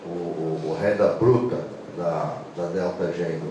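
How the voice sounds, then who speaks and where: A man with an English accent, a native English speaker, giving an informal explanatory talk as a guest presenter, with halting phrasing. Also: deep voice, steady tone. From England. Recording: echoey room, distant mic, clear noise.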